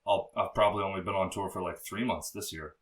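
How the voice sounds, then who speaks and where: A young man with a low, bassy voice, chatting as a podcast guest in a loose, rambling way, full of fillers and swearing. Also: steady tone, fast, recorded from a distance. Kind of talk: casual interview chat.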